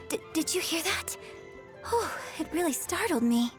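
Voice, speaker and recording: A woman's voice: very high voice, lively intonation, a little slow. A woman voicing a gracious young opera troupe director in a video game, with formal, poised and theatrical phrasing. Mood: startled. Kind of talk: scripted video game character lines. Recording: music playing behind the voice. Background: music.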